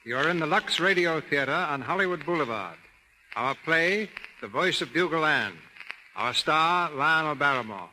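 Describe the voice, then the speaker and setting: A man's voice: a little high, expressive, normal speed, pushed and bright, slight background noise, low-quality recording. A man giving formal scripted narration as host of a 1930s radio theater. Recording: 1930s radio broadcast with music behind.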